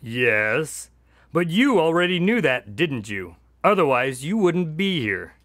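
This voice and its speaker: An exasperated, dutiful friend in a radio drama. His fairly high male voice swings widely between dry storytelling and scolding, and it is recorded close and dry. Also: big pitch swings, slow, a bit bright, dry recording, heavily acted.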